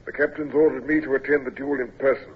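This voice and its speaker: An actor playing a bluff husky naval lieutenant. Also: male voice, medium pitch, steady tone, natural voice. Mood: grave. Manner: bluff, coaxing, brisk. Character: hearty senior male naval lieutenant. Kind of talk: 1940s radio acting. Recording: tinny phone-like old radio recording.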